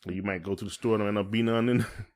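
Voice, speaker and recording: A man's voice: lowish voice, fairly even intonation, racing, a little mellow. A man with a Southern US accent chatting casually as a podcast co-host, in a folksy, joking way. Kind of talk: casual chat.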